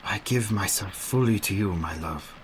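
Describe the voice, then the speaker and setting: An actor playing an old professor who hunts vampires in a radio play, grave, formal and dramatic. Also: male voice, on the low side, normal speed, lightly acted. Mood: entranced. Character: resolute, weary old male professor, vampire hunter. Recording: clear background noise.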